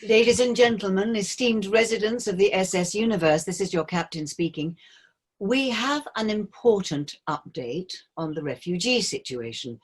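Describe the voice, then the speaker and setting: Woman as lilting, husky cruise ship captain. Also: medium pitch, animated. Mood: officious. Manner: formal, measured announcement delivery. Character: blithely cheerful female cruise ship captain, polished and officious. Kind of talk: staged play reading.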